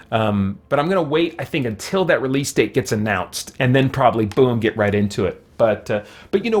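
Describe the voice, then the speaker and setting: Rambling casual male game streamer. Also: mid-range voice. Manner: informal, rambling, thinking aloud. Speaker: game streamer and YouTuber, host. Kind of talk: casual livestream commentary while gaming, reading and answering chat.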